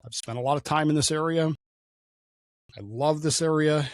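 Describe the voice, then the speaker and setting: A man's voice: on the high side, unhurried, no echo, studio quality. A man in his sixties, a show host, talking through an unscripted solo monologue in a conversational, digressive way with frequent fillers and restarts. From Oklahoma, raised in Denver.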